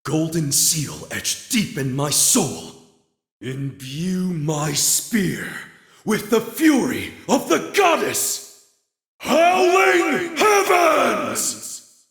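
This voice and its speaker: A man playing an earnest young holy knight in a video game, with a husky voice and a measured, formal, noble delivery. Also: high-pitched, very animated, fairly bright tone, dry recording, studio quality, full character voice. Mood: fierce battle cries. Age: young adult.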